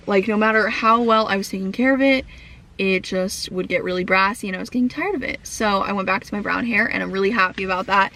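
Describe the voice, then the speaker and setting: A young woman vlogging to camera in a rambling casual chat full of fillers, her voice croaky from a lingering cough. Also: mid-range voice, bright, forceful tone. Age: young adult.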